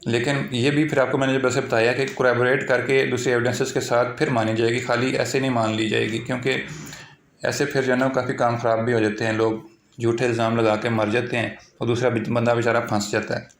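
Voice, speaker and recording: A man's voice: mid-range voice, level tone, brisk, a little hiss or hum. A man with a Pakistani accent giving an informal explanatory law lecture, repeating himself and mixing English legal terms into his explanations. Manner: repetitive, filler-heavy explaining with English legal terms mixed in. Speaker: law teacher. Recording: echoey, roomy sound.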